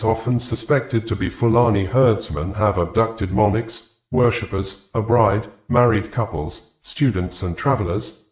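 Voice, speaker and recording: A man reading scripted news in a formal, measured way. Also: on the low side, a little mellow, echoey room. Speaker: news presenter.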